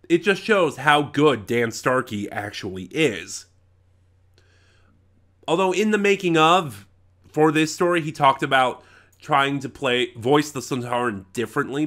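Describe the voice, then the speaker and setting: A man with a middling pitch and a bright, forceful tone talks as a casual reviewer. He gives his opinions off the cuff, rambling and halting, often stopping to correct himself. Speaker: reviewer, channel host. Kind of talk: casual unscripted audio drama review.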